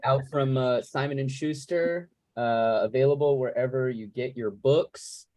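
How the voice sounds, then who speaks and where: A man's voice: mid-range voice, unhurried, a crowd in the background. A man moderating a casual Q&A as a podcast host on a video call.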